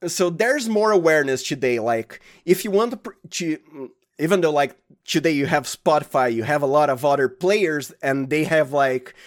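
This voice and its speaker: A man's voice: fairly high pitch, animated, moderate pace. A man with a Brazilian accent and Portuguese as his first language, chatting casually as a podcast guest and searching for his words. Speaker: podcast guest, audio fiction producer. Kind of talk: casual interview chat.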